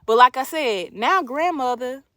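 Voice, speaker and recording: A woman around forty with a somewhat high voice, speaking as a streamer to her audience. Her delivery is casual and opinionated, and she leans on repeated phrases to press her point. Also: lively intonation, unhurried, pushed and bright. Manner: emphatic, repeats phrases for emphasis. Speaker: livestream host. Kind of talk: casual opinion monologue to viewers.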